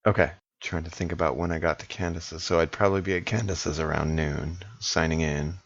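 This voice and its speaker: A man's voice: low voice, steady tone, fairly quick, no echo. A man playing a tabletop role-playing game, informal and reactive, often thinking aloud, recorded in a home studio. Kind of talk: casual improvised tabletop play. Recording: dry, slightly dull home studio sound.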